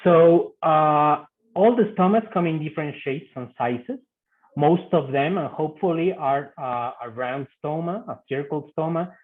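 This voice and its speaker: A man from Mexico speaking English with a Mexican Spanish accent, giving an online medical talk in a halting way with many fillers and repeated words. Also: on the high side. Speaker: guest speaker, physician. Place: video call. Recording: tinny phone-like video call audio.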